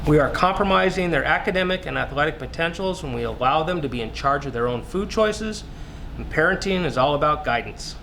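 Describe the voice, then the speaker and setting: A man's voice: on the high side, lively intonation, a little fast, creaky, sharp tone, slight background noise, exaggerated voice. A man playing an earnest researcher, speaking in a didactic way as in a scripted interview drama. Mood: earnest, instructive. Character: earnest widowed male researcher preaching plant-based eating. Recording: music playing behind the voice.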